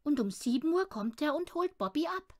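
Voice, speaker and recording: Woman reader as a gentle mom in a storybook, calm, soft explaining, high pitched. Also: normal speed. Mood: gentle, regretful. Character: calm, gentle mother breaking bad news.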